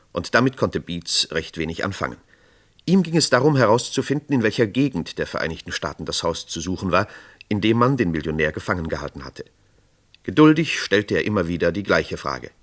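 A male narrator tells a crime story for a radio drama. His voice sits fairly low and moves expressively in pitch. The delivery is scripted, measured storytelling. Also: a bit bright.

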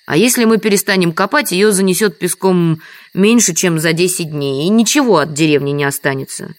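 A woman's voice: lowish voice, a little fast, no room sound, natural voice. An actress playing a dutiful, stubborn village woman in an audio drama, plain and insistent in manner. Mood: resigned, firm.

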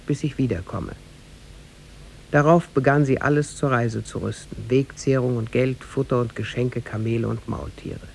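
A man narrating a tale in measured, formal storytelling style for a 1950s German radio play. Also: vocal fry, darkish tone. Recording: 1950s radio recording with faint rumble.

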